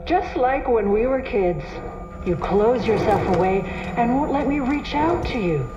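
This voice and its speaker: A woman's voice: fairly high pitch, lively intonation, a little slow, background noise. An actress playing a ghostly, sister-like woman in a horror video game, imploring and tenderly reproachful. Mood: pleading. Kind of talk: video game cutscene acting. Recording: muffled, echoey, under loud music.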